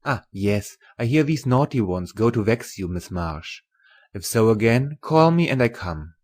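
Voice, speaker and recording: A man's voice: lowish voice, lively intonation, a little mellow. Man acting a jolly, courteous German professor. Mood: mock stern. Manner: courteous, deliberate, foreign phrasing. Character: jolly, gentle middle-aged German professor, playful with children. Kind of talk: audiobook dramatic reading.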